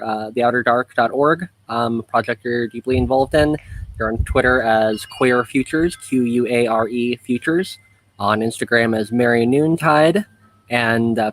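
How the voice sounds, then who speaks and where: A man hosting a relaxed conversational show, speaking in a halting, thoughtful way. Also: medium pitch, low-quality recording. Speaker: host. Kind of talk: casual chat.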